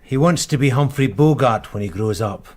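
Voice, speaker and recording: An actor playing an unflappable, wry police sergeant in a naturalistic radio drama. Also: male voice, medium pitch, animated, normal speed, close to an everyday voice.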